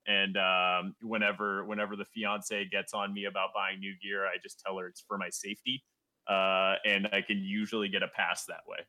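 A low-pitched man with a very bright, forceful edge to his voice. He talks casually as a podcast guest, animated and tripping over his words as he restarts sentences. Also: moderate pace. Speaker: guest goalie.

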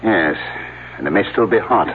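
An earnest, fussy professor, with a lowish, expressive voice and hesitant phrasing. It is heard on a thin old radio recording with some hum. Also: male voice, moderate pace, slightly rough, narrow, tinny audio. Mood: stern. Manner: hesitant, explaining. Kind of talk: 1970s radio drama acting.

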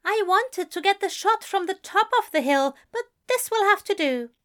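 A woman's voice: very high voice, animated, normal speed, a bit bright, voice pushed up. A woman voicing a fussy, impatient man with a clipped, huffy manner.

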